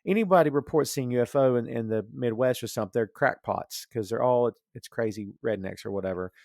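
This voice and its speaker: A man's voice: mid-range voice, a little fast, creaky, a little mellow, no room sound. Man chatting casually on a dry recording. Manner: rambling, thinking aloud. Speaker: podcast host discussing comics and film. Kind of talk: casual opinionated chat.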